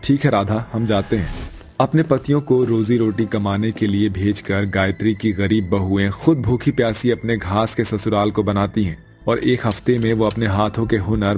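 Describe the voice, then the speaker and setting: A man with a fairly low voice narrates a scripted cartoon tale in a dramatic storytelling style. Music plays behind him throughout. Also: darkish tone.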